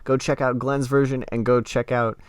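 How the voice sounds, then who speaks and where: A man's voice: medium pitch, flat delivery, moderate pace, clean tone, no echo. A man hosting a podcast in casual, conversational review style. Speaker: podcast host.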